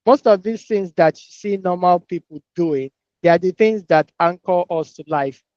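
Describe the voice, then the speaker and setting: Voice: mid-range voice, moderate pace, darkish tone, no echo, highs cut off. A person in a casual discussion, speaking reflectively and hesitantly, often repeating words while working through a thought.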